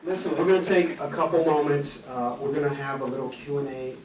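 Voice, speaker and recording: A man's voice: on the high side, level tone, a crowd in the background. Guy running the q&a at a conference, keen, trips over his words, distant roomy sound. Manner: enthusiastic, halting with restarts. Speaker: event moderator. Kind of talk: moderating a Q&A. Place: large conference hall. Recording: roomy hall sound, distant mic, faint noise.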